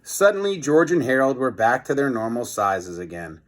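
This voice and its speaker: Male storybook reader, mid-pitched, animated delivery with casual, chatty commentary. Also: normal speed. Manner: expressive, chatty commentary between passages. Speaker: teacher reading a children's book aloud. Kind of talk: animated storybook read-aloud with casual asides.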